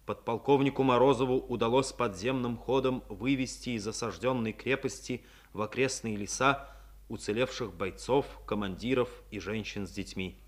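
Male actor as radio drama narrator, 1950s Soviet style, solemn, measured, medium pitch, wartime story. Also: natural voice.

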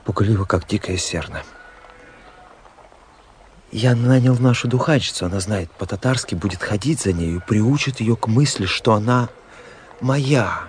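An actor playing a young, self-willed aristocratic officer in a radio drama, theatrical and persuasive, on a noisy recording. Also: male voice, fairly low pitch, close to an everyday voice. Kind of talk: Russian radio drama acting.

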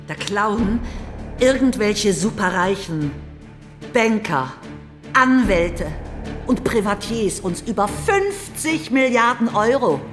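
A woman's voice: mid-range voice, lively intonation, slow pace. Impassioned young woman in echoing hall. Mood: earnest, indignant. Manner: theatrical, impassioned, rhetorical. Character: outraged young journalist woman, passionate idealist pleading to a courtroom. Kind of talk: German radio play monologue. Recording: reverberant hall, music underneath. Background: music.

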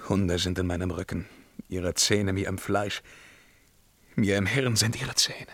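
An actor playing a tormented, obsessed hunter in a German radio verse play, theatrical and declamatory, moving between outcry and whisper. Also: male voice, low-pitched, measured pace, fairly bright tone, dry recording, heavily acted. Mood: anguished, haunted. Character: tormented, obsessed male hunter, feverish and driven.

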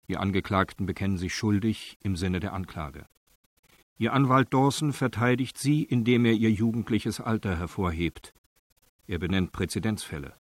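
A man's voice: lowish voice, dry recording, natural voice. A man narrating a radio crime drama in a sober, factual, matter-of-fact style.

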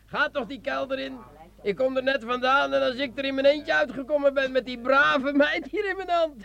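An actor playing a boastful, jovial sergeant in a radio drama, boisterous and coaxing, with drawn-out theatrical delivery. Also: male voice, extremely high pitch, creaky, bright, forceful tone, dull audio, exaggerated voice. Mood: boastful, triumphant.

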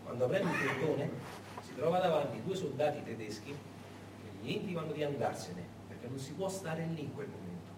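A man narrating in dramatic theatrical style, deliberate and emphatic, with dramatic pauses. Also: a little high, sing-song. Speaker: stage narrator and actor. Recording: echoey, noisy recording from far off.

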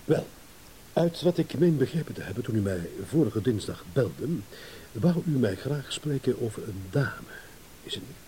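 A man's voice: medium pitch, very animated, normal speed, dark tone, close to an everyday voice, rough recording. An actor in a radio play playing a self-assured businessman, courteous and indulgent in a patronising way, yet guarded. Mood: guarded, businesslike. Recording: hissy old radio play recording.

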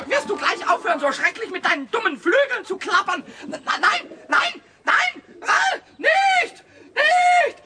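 A man voicing a cheeky, excitable little kobold in a children's radio play, squeaky and shrill, stammering and repeating words. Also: measured pace, heavy background noise, exaggerated voice. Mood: panicked.

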